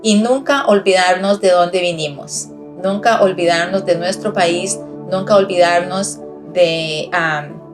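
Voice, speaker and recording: A woman's voice: on the low side, moderate pace, music in the background. A middle-aged woman from Costa Rica with a Costa Rican accent, giving an earnest, encouraging personal testimony over a video call. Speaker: guest speaker.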